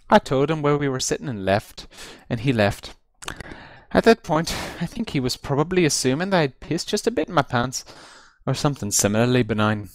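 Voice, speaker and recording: A man reads a comic first-person tale with highly animated, swooping intonation. His delivery is theatrical and emphatic, stretching out key phrases for effect. The sound is close and dry, as from a computer microphone on voice chat. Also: dry recording. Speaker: amateur reader of a comic story. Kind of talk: dramatic read-aloud of a humorous first-person story. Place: recorded over an online voice chat.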